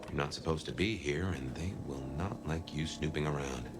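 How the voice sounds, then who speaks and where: A man voicing a gruff, world-weary detective who guides and cautions in a video game, murmuring and laconic. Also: a bass voice, normal speed, noisy background. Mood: cautious, guiding. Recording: loud music underneath.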